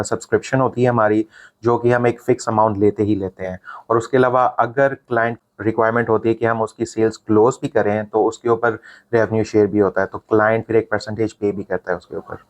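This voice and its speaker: A man with a Pakistani accent, a call center business owner, answering interview questions in a relaxed explaining way and mixing in English phrases. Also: on the low side, moderate pace.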